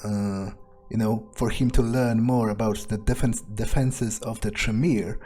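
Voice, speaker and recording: Slow, hesitant voice musing as a scheming vampire. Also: mid-range voice, fairly even intonation, dark tone, faint music behind. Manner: hesitant, musing, casually profane. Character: scheming, amoral vampire musing on own plans. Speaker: player narrating a roleplay game playthrough in character. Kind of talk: unscripted in-character monologue, thinking aloud.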